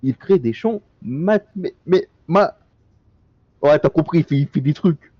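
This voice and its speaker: A man's voice: fairly high pitch, expressive, unhurried, dark tone, husky, dry recording, lo-fi sound. Man stumbling through game text aloud. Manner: stumbling reads with joking asides. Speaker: streamer. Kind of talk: reading in-game documents aloud during a co-op stream.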